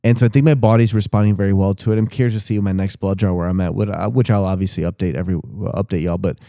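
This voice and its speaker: A man's voice: low voice, fairly even intonation, quick pace, vocal fry, mellow, dry recording, clean studio sound. A man in his thirties talking casually on a podcast, candid and rambling, with restarts and casual swearing. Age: 30s. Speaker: podcast co-host.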